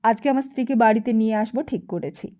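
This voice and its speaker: A woman's voice: a little high, fast talker, dark tone, lightly acted. Mild, polite dutiful husband, voiced by a woman. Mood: calm, polite.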